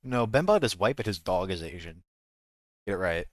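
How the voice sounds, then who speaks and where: A man's voice: deep voice, very animated, normal speed, no echo, clean studio sound. A man with an American accent chatting casually as a game streamer, rambling and jokey, often correcting himself mid-thought. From United States. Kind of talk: casual livestream chat.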